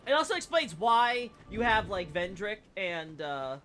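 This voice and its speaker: Bright-voiced rambling game streamer. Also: medium pitch, big pitch swings, measured pace. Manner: rambling, full of "you know" and "right?". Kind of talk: casual game lore commentary while playing.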